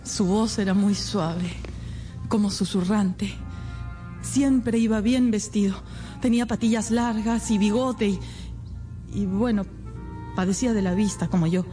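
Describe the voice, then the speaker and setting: A woman's voice: medium pitch, fairly even intonation, measured pace, long pauses, mellow, soft background music, close to an everyday voice. An actress playing an anxious, naive and devoted young woman in a radio drama, speaking earnestly with hesitant repetitions. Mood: confiding. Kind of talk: Spanish-language radio drama acting.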